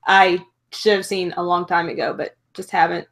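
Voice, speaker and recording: A woman in her twenties hosting an interview on a video call over a headset, talking fast and enthusiastically. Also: lowish voice, little pitch movement, a bit bright. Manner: chatty and fast, nerdy enthusiasm. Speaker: host. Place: bedroom with shelves of figures.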